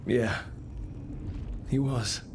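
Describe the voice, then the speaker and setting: An actor playing a steady, supportive young mage who leads a band of heroes in a video game, calm and reassuring. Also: male voice, medium pitch, drawn-out words, noisy background. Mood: caring, calm. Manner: calm, reassuring, plain-spoken. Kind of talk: video game character acting.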